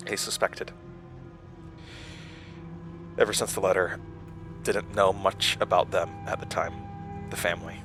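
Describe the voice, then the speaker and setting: A man's voice: sits low, steady tone, a little slow, long pauses, over music. A man acting in an audio drama as a troubled, anxious questioner who speaks in hesitant, broken, stammering phrases. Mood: strained, insistent.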